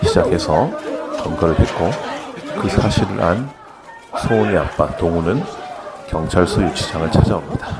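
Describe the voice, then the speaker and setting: A middle-aged man walks through a film's story in a relaxed, conversational way. His voice sits very low, and he often pauses on hesitant fillers as he goes. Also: a loud crowd. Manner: unhurried, frequent hesitation fillers. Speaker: film narrator and actor. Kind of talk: casual spoken film recap.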